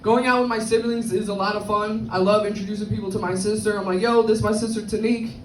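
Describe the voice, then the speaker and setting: A woman from the South Bronx with a Bronx accent and a deep, slightly cracked voice, doing a brash, crude stand-up set on a club stage. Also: steady tone, fast, a little hiss or hum. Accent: Bronx New York. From South Bronx, New York. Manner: brash, crude, self-mocking punchlines. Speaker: stand-up comedian. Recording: echoey club, far from the mic. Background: audience laughter.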